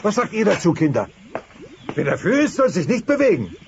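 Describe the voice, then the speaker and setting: A man's voice: high voice, wildly swooping pitch, a little fast, full character voice. An actor playing a grumpy, scheming dinosaur father with a whiny, exaggerated delivery in a family sitcom audio play. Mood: coaxing. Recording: dull, noisy old cassette transfer.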